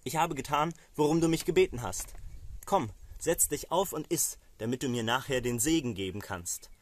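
A man voicing a sly younger son in a Bible story, eager and smooth-talking toward his old father. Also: medium pitch, animated, normal speed, close to an everyday voice. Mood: eager, deceitful.

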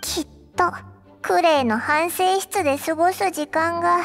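A woman's voice: very high-pitched, a little slow. A woman voicing a tiny, talkative fairy companion with cheeky, boyish speech in an anime video game. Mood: curious, teasing. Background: background music.

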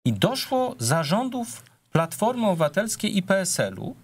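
A middle-aged man, a politician guest, arguing his case in a TV studio debate, measured and full of hesitations. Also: high-pitched, very animated. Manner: measured, argumentative, with frequent hesitations.

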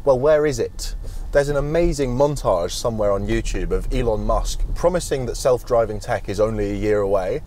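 A man's voice: mid-range voice, normal speed, darkish tone, a little hiss or hum. A young man with a British accent presenting a car review to camera. He talks in a chatty, wry, opinionated way while driving, and later stands outdoors at a charger. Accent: British English. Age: young adult.

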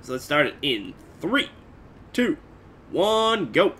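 A man's voice: on the high side, very animated, takes it slowly, pushed and bright, soft background music. A man in his twenties giving casual reaction commentary from a room at home, in a rambling, hesitant way. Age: 20s. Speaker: reaction video host. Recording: noisy home webcam recording.

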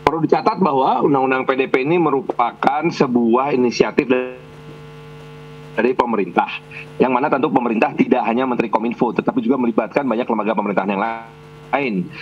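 A man's voice: mid-range voice, fast, slight background noise. A man, a member of parliament, answering a TV anchor's question remotely, speaking formally with hesitations and fillers. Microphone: video call. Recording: dull lo-fi video call line.

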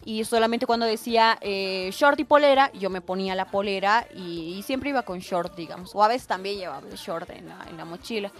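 A woman's voice: mid-range voice, brisk, hardly any pauses, faint music behind. A woman with a Bolivian accent telling anecdotes in casual radio chat. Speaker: radio show participant.

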